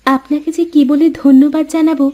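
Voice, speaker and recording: A woman's voice: high voice, flat delivery, fairly quick, highs cut off, lightly acted. A woman voicing a meek, sorrowful young orphan girl in a fairy-tale cartoon, speaking politely and self-effacingly. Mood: grateful.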